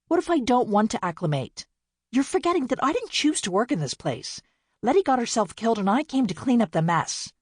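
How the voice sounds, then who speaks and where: A woman's voice: mid-range voice, very animated, brisk, few pauses, slightly rough, no room sound, clean studio sound, voice pushed up. A woman voicing a proud, bitter older sister who talks defiantly and mockingly. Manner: defiant, mocking, sharp-tongued.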